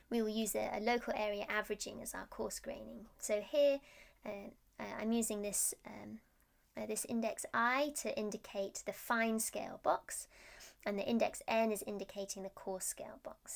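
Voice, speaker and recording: Woman researcher explaining technical slides, bit stop-start, creaky fry in her voice, mid pitch. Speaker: research scientist presenting.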